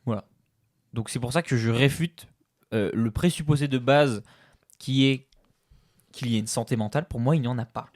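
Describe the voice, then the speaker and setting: A man's voice: mid-range voice, normal speed, pauses often, darkish tone, no echo, studio quality. Blunt, provocative man in casual talk. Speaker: podcast co-host. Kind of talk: casual chat over a meal.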